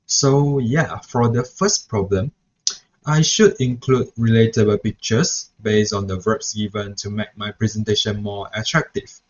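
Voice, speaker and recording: A man's voice: fairly low pitch, fairly even intonation, a little slow. A young man who grew up speaking Mandarin, talks through a reflection vlog as a student teacher, hesitant and full of "so yeah" restarts. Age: young adult. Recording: dull lo-fi recording.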